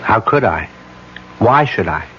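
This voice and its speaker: Brief: weary cop and husband, low-key, old radio sound. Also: male voice, expressive, a little slow, a little hiss or hum. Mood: resigned. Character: weary male police detective, quietly loyal husband. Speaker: police detective. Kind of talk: 1970s radio drama acting. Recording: dull lo-fi 1970s radio recording.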